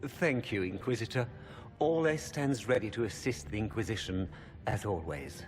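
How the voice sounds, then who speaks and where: An actor playing a courteous court diplomat, formal and polite, in a video game. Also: male voice, fairly low pitch, expressive, normal speed, natural voice. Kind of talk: video game dialogue acting. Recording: long hall reverb, music behind.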